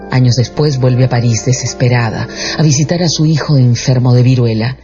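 A man narrating a radio drama as a scripted, dramatic storyteller. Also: mid-range voice. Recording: dull radio production with music bed.

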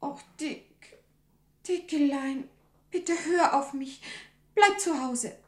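Anxious young sweetheart, radio drama: high, coaxing, devoted, echoey room sound. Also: female voice, slow pace. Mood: pleading. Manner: coaxing, tender, pleading. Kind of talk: 1960s German radio drama acting.

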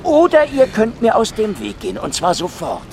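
A man's voice: high-pitched, huge pitch swings, normal speed, vocal fry, noisy background, exaggerated voice. A man playing a hardboiled, cocky Soviet secret police investigator in a radio crime drama, with a sardonic, menacing, taunting manner. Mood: taunting menace. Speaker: lead actor and first-person narrator.

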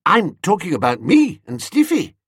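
A man voicing a cheerfully dim, breezy young gentleman suitor with airy manners in a comic audiobook. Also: high-pitched, wildly swooping pitch, moderate pace, husky, no room sound, studio quality, voice pushed up, exaggerated voice. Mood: brightly earnest.

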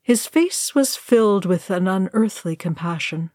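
A woman's voice: mid-range voice, animated, a little slow, darkish tone, no room sound, clean studio sound. A woman narrating a scripted fantasy story as a storyteller. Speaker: reader of a fan fiction story.

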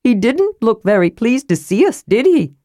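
A woman voicing a small, cheerful, curious male kender, high, bright and eager. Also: very animated, moderate pace, dry recording, clean studio sound.

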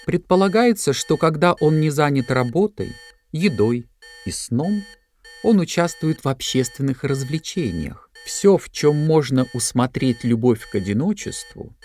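Measured male narrator, mid-pitched. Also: expressive, darkish tone. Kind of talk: scripted dramatic narration.

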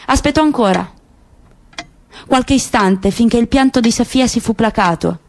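Woman reading a novel on radio, kinda high voice, slow and expressive, little hiss. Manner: measured, expressive storytelling. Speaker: narrator. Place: radio studio.

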